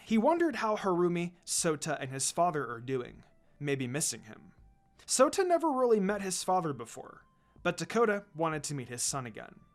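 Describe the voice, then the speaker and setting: Lively, higher-voiced man narrating a story. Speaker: fan fiction reader.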